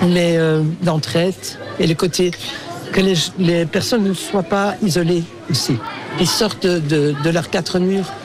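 An older Belgian woman, a native Dutch speaker with a Flemish accent, answering casually and searching for words. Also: lowish voice. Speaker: interviewee, local resident. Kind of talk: casual street interview.

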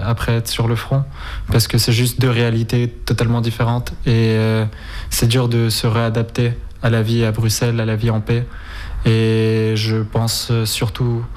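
Even-toned young man, hesitant and plain-spoken. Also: mid-range voice. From Ukraine, of Spanish nationality. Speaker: interview guest, volunteer and documentary maker. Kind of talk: informal spoken interview answers.

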